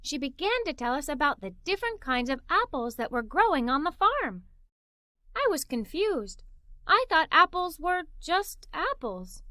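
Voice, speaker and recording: A woman narrating a children's story aloud in an expressive, playful way. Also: high-pitched, moderate pace, no room sound, clean studio sound.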